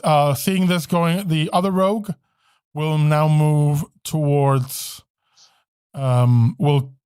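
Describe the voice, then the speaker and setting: A man running a tabletop game as game master, narrating combat in a halting, self-correcting way. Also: fairly high pitch, darkish tone, clear, resonant tone, no room sound, clean studio sound.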